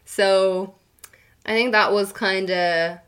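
A woman's voice: medium pitch, fairly even intonation, slow, a bit bright, clean tone. A woman in her twenties with an Irish accent, a native Irish speaker, chatting casually as a podcast guest and laughing often at herself. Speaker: anonymous guest.

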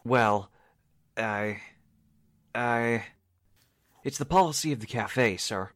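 A man playing a nervous, deferential waiter who poses as a Frenchman, halting, in 1940s radio drama style. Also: mid-range voice, a bit bright, no echo, clean studio sound. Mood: sheepish.

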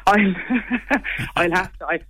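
A young Irish woman with a low voice, chatting quickly as a singer guest in a casual interview. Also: fairly bright tone, breathy edge, no room sound. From Ireland. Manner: quick, chatty, lots of "like" and "you know".

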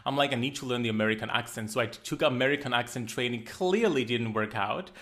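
A man's voice: medium pitch, fast, a bit bright. A man with a Greek accent hosting a podcast interview, reading an introduction and asking questions in an upbeat way. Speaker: podcast host.

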